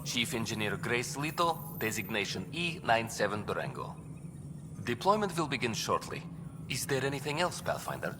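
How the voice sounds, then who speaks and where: A man playing a dry, officious bureaucrat in a video game, speaking formally with a deadpan, wry delivery. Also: mid-range voice, moderate pace, noisy background, lightly acted. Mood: formal, procedural. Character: dry, officious male bureaucrat managing cryo deployments. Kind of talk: video game character dialogue. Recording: reverberant hall, clear background ambience.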